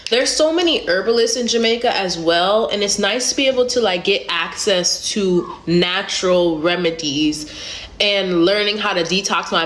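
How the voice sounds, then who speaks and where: A young woman chats to camera about her life in an easy, laid-back way. Her speech is loose, full of "like" and "you know", at a middle pitch. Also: fairly bright tone, slight background noise. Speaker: vlogger. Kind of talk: casual chat to camera.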